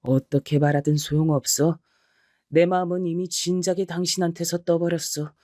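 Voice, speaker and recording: A man's voice: a little high, mellow, dry recording, studio quality, lightly acted. Man playing a blunt unfaithful husband. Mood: cold. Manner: blunt, defiant, matter-of-fact. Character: cold, unfaithful middle-aged husband.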